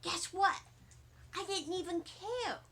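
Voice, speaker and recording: A woman voicing an excitable, bragging little girl with childlike, emphatic chatter in a storybook read-aloud. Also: extremely high pitch, slow, pitched above the performer's own voice, exaggerated voice. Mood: happy, boastful.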